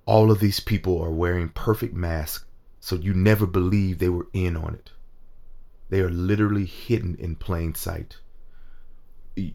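A man's voice: lowish voice, a little mellow. A man acting in an audio drama as a strong, secretive rebel politician, confiding earnestly in his lover.

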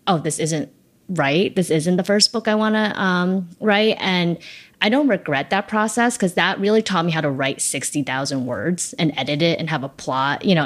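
Low-voiced woman, casual and reflective. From born in China, raised in the United States. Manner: casual, many likes and ums, reflective. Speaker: debut novelist, guest. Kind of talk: relaxed interview answers.

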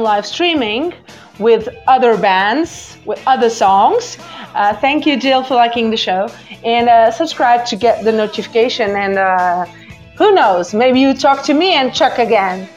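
A woman from Portugal with a Portuguese accent, a native Portuguese speaker, hosting a casual live call-in show in a halting way with repeated words. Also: fairly high pitch. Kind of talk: casual live chat with listeners. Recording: dull lo-fi app audio with music. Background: music.

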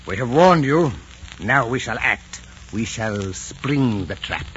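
Scheming nobleman villain, old radio drama, threatening with big pitch swings, dull sound. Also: expressive, slow pace, fairly bright tone. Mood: cold menace. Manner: threatening, theatrical. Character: menacing male court noble, scheming enemy. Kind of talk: 1940s radio drama acting. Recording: muffled, hissy 1940s radio recording.

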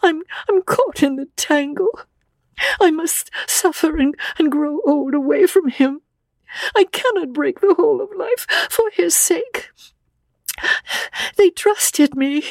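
A woman voicing a distraught, guilt-torn young woman in a story, with a quavering, halting delivery. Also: on the high side, lively intonation, darkish tone, slightly rough, dry recording, voice pushed up. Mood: anguished, sobbing.

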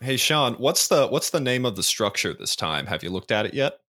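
A man's voice: medium pitch, very animated, moderate pace, sharp tone, faint music behind. A man co-hosting a casual movie podcast, teasing and needling his partner. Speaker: podcast co-host.

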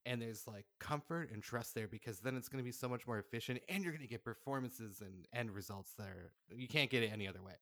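A man speaking as a podcast guest in a casual, animated storytelling way, peppering his talk with "like". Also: mid-range voice, fast talker, few pauses, no echo. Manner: animated, chuckles through anecdotes, frequent "like". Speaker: podcast guest, audio drama writer-director.

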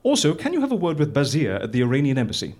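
Swooping, eccentric British boss. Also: male voice, mid-range voice, wildly swooping pitch, racing, darkish tone. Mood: brisk, whimsical. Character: eccentric, busy male boss dictating instructions. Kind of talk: live audio drama comedy.

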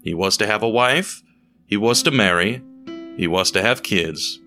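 A man reading a scripted story as narrator, in a measured, dramatic way with deliberate pauses. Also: lowish voice, pushed and bright. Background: music.

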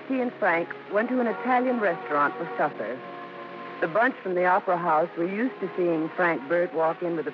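Animated storytelling daughter over music. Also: female voice, on the low side. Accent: American. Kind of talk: storytelling narration in old radio drama. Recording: muffled old radio, music behind.

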